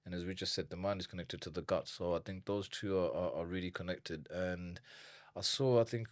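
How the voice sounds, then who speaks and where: Guest in his thirties from Zimbabwe, deep voice, dry close sound; talks animatedly, doubling words as he gathers thoughts. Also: a little fast, no echo. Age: 30s. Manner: animated, repeats words when thinking. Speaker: nutrition coach guest. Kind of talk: casual interview chat.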